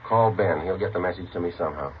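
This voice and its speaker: An actor playing a terse, matter-of-fact police detective sergeant in a 1950s radio crime drama. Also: male voice, sits low, quick pace, natural voice. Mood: reassuring. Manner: deadpan, terse. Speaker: narrator and lead actor. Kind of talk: 1950s radio crime drama, clipped first-person narration. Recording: muffled, noisy old-time radio recording.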